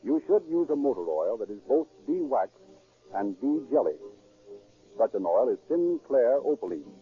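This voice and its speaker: A man with an American accent announcing and narrating a 1930s radio programme in a formal, measured, scripted style. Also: medium pitch, sing-song, soft background music. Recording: muffled, worn 1930s radio recording.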